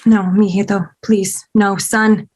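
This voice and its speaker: A woman reading a congresswoman mother in her fifties at a screenplay table read, giving sharp, clipped orders. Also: medium pitch, little pitch movement, slow pace, husky. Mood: pleading. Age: 50s.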